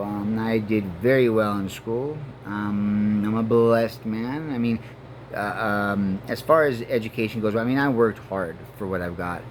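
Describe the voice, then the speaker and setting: A man in his forties whose first language is Spanish speaks at a middle pitch, earnest and halting. He fills gaps with ums and restarts sentences often. A faint hum of air conditioning sits under the voice. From Mexico and Denver, Colorado. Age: 40s. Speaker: vlogger. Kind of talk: rambling personal monologue to camera.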